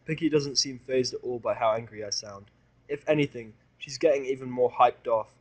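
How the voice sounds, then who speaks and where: Man, fan fiction narrator, middle pitch, dramatic first-person storytelling, audio drama reading. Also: normal speed.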